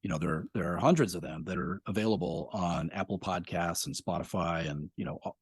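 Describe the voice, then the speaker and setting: A man's voice: sits low, brisk, husky, dry recording. A man in his fifties, a podcast creator talking as a guest in a casual interview, rambling with many fillers.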